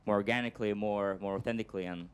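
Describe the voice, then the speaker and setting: A man's voice: fairly low pitch, fairly even intonation, fast, clear, resonant tone. A man speaking on an industry panel, upbeat and analytical. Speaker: panelist, performance marketer. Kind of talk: panel discussion answer.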